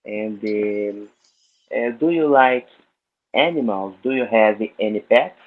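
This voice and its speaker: A man from Brazil, a native Portuguese speaker, asking questions on a video call in halting, simple English. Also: on the low side. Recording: tinny phone-like call line.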